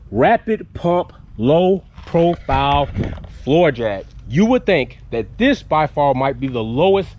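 A man's voice: on the high side, a little mellow, slight background noise. A man in his thirties hosting a casual product review vlog outdoors in a driveway, talking bluntly and animatedly and swearing casually while holding the camera at arm's length. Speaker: car channel host. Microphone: handheld camera held at arm's length.

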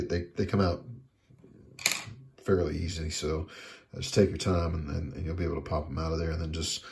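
Very deep-voiced man, gravelly, halting delivery. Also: a little mellow. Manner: halting, repeats words and trails off. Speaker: hobbyist demonstrating electronics repair. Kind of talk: casual hands-on how-to narration. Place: indoors at a workbench.

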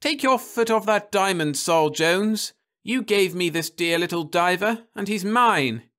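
A man's voice: sits high, lively intonation, measured pace, a bit bright, voice pushed up. A man doing a squealing, greedy young wife. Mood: coaxing. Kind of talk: audiobook character voice.

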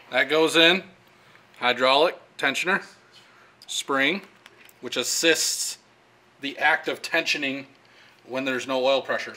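A man's voice: medium pitch, sharp tone, slight background noise. A man in his thirties casually explaining engine timing while working in a workshop, with lots of fillers. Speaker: engine shop technician. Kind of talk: hands-on how-to demonstration. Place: engine workshop with pegboard wall, indoors.

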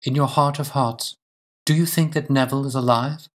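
Anxious, insistent Victorian wife for audiobook, male reader, raised pitch, formal and emphatic. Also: fairly even intonation, normal speed, lightly acted. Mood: insistent, composed. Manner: formal, emphatic, precise diction. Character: earnest, composed but anxious Victorian wife, pleading and insistent. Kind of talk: audiobook character dialogue.

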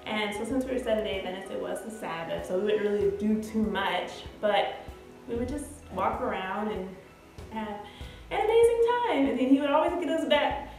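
A woman's voice: medium pitch, lively intonation, moderate pace, darkish tone. Chatty woman in a very echoey room. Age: 30s. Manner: chatty, rambling. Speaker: vlogger. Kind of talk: casual personal storytelling to camera. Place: bare-walled room indoors. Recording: very echoey room, music behind. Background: background music.

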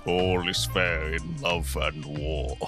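A tiny pixie veteran, acted by a man with an extremely low voice. He is grim and solemn, haunted by war, and speaks slowly in a tabletop game over background music. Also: steady tone.

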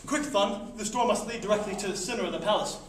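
A man's voice: sits high, fast talker, close to an everyday voice. A man voicing a brave, earnest hero of a space-adventure radio serial, declamatory and theatrical. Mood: urgent. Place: classroom, indoors. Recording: echoey classroom, distant camera, faint noise.

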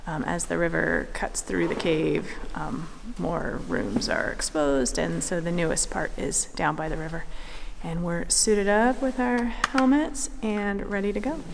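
A woman's voice: fairly low pitch, measured pace, vocal fry. A woman in her thirties giving casual travel narration on camera inside a cave. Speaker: travel vlogger. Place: inside a large limestone cave. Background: background music.

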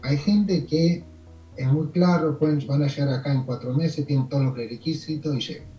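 A Canadian man, French his first language, speaking with an Argentine accent as an immigration consultant in a video call interview, telling stories in a relaxed, rambling way with fillers. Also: on the high side, moderate pace, echoey room, muffled recording, faint music behind.

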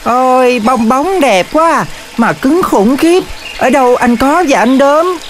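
High, swooping little cartoon girl. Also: expressive, unhurried. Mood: wonderstruck. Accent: Southern Vietnamese. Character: wide-eyed, excitable little cartoon sister. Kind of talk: children's cartoon dubbing. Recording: loud music over the voice.

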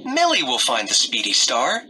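A man narrating a read-aloud story for young children in short, expressive sentences. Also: mid-range voice, normal speed, a little hiss or hum.

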